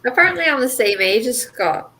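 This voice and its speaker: A woman's voice: on the high side, expressive, fairly quick, bright, forceful tone. A young woman, chatting casually and rambling as she second-guesses herself. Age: 20s. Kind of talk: casual chat on a group call.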